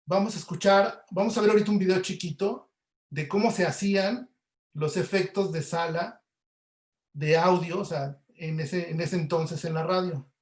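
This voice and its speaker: High-voiced Mexican man lecturing, echoey room. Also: moderate pace. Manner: explanatory, often checks with tag questions. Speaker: lecturer, audio producer. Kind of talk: informal webinar lecture.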